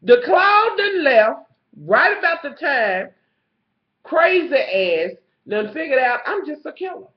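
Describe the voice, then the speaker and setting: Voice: sits high, big pitch swings, slow pace, roomy sound. A TV show reviewer with a stuffed-up nose, recapping an episode in gossipy, profane storytelling and calling the viewer honey. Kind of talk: casual episode recap vlog. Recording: slightly muffled lo-fi recording.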